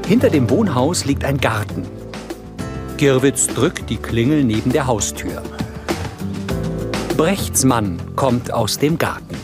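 A man's voice: medium pitch, animated, slow, long pauses, over loud music. A man reading scripted descriptive narration for a crime drama.

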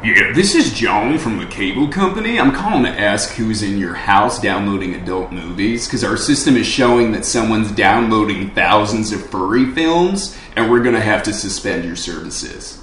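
A man's voice: fairly low pitch, animated, normal speed, hardly any pauses, a bit bright, slight background noise, pitched below the performer's own voice. A man voicing a stern, accusing cable company caller for a prank. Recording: roomy living room recording.

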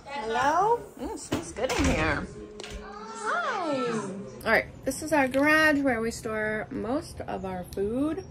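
A woman's voice: sits high, sing-song, a little slow, loud music behind the voice. A woman in her forties casually narrating household chores in short, offhand remarks as a family vlogger. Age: 40s. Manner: talks in short, offhand remarks while working.

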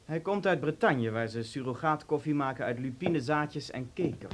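Actor as suave, wordy police officer. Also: male voice, on the high side, moderate pace, very noisy, close to an everyday voice. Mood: smoothly pressing. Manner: urbane, wordy, polite. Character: suave, talkative male police officer, coffee connoisseur, slyly menacing. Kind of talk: Dutch radio play acting.

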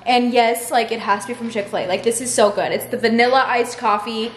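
A woman's voice: a little high, steady tone, brisk. A woman in her early twenties chatting casually to camera in an informal, filler-heavy way, as a lifestyle vlogger. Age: early 20s. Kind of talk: casual vlog chat to camera. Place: large, mostly empty room in a house. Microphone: camera at arm's length or on tripod. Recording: echoey bare room with faint noise.

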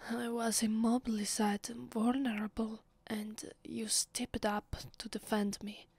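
A woman with an Italian accent playing a haughty, centuries-old vampire mistress in an ASMR roleplay, breathy and often near a whisper, imperious and dramatic. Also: a little high, level tone, unhurried, a little mellow, dry recording, full character voice. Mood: softly grateful. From Italy.

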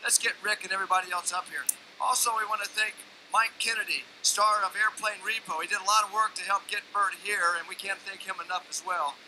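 A man hosting a live auction, rallying the room with big praise. His voice is high and very bright, with faint arena noise underneath. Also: steady tone, a little fast, rough recording. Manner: hyping up the crowd. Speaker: auction show host. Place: large indoor auction arena.

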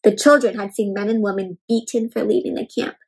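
A young adult woman reading a picture book aloud to children in an expressive, teacherly way, pausing to explain and ask questions. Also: mid-range voice, husky. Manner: expressive, emphatic, teacherly, slowing on key words. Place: indoor room at home.

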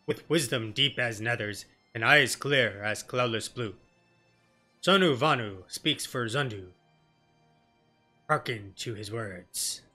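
A man's voice: mid-range voice, takes it slowly, bright, forceful tone, faint music behind, pitched below the performer's own voice. A man voicing a proud, blustery beastman tribesman in pompous, archaic broken phrasing for a fantasy game. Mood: proud, solemn.

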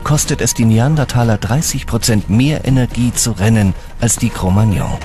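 A man's voice: mid-range voice, moderate pace, over music. A man reading scripted, dramatic narration for a documentary.

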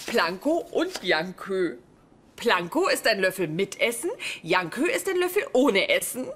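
A woman's voice: medium pitch, big pitch swings, unhurried, fairly bright tone, slight background noise. An actress playing an earnest, nerdy young woman with a dry manner in a dubbed sitcom. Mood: pleased, earnest.

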